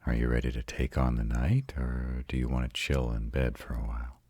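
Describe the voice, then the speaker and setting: An arrogant yet devoted vampire, played by a man for a romantic roleplay audio. His voice is extraordinarily deep with a husky rasp, recorded dry and close. He speaks deliberately and tenderly, like a patient, intimate teacher. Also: dark tone, no room sound. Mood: gentle, reassuring. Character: arrogant male vampire, devoted mate and patient mentor to a newly turned partner.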